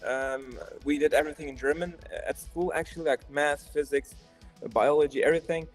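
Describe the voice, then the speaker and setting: A young man from Turkey with a Turkish accent, a guest chatting on a coding stream, hesitant with restarts and soft trailing phrases. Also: fairly high pitch, fairly even intonation, a little fast. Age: young adult. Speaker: guest co-developer. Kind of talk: casual chat on a coding stream. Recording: faint music behind.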